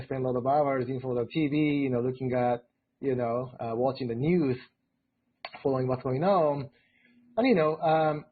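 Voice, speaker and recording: A man in his forties from Japan with a Japanese accent, telling his story as a guest speaker, full of "you know" fillers and rising excitedly in pitch. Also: slightly rough. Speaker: guest speaker, robotics researcher. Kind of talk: storytelling in a live lecture chat. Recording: tinny phone-like line.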